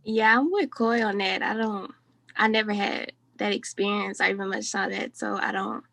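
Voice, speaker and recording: Interview guest, woman in her twenties, casual and sincere, mid-pitched, dry close sound. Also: steady tone, moderate pace, gravelly, fairly bright tone, dry recording. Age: 20s. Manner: casual, filler-heavy, sincere. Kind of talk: candid conversation.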